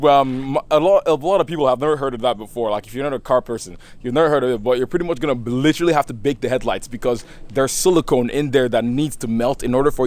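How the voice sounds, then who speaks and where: Young man, college student, casual car how-to vlog, goofy, laughs a lot, rambling, middle pitch, outdoors by his car. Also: a little mellow. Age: young adult. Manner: chatty and goofy, laughs often, rambling restarts. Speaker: car vlogger.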